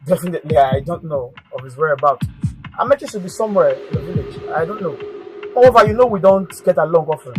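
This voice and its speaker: Man playing a quick, dismissive Nigerian prince. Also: a little high, expressive, over music, natural voice. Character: detached young prince, brother of the missing heir. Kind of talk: Nollywood royal drama acting.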